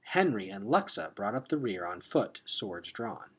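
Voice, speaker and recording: Man reading a story aloud, very animated pitch. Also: measured pace, husky. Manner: expressive, drops to hushed tones for suspense. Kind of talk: dramatic read-aloud narration of a children's novel.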